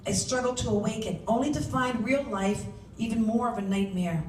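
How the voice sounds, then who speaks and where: A woman reading a first-person story aloud as its author, with an animated, dramatic delivery. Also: fairly high pitch, normal speed. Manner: animated, acts out shouted dialogue. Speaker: author reading from their own novel. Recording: roomy, distant mic, faint background noise.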